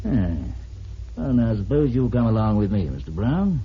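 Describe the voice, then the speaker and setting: An actor playing a calm police inspector in a vintage radio drama, measured, polite and insistent. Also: male voice, lowish voice. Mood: probing, controlled. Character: calm, probing male Scotland Yard police inspector. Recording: muffled, noisy vintage radio recording.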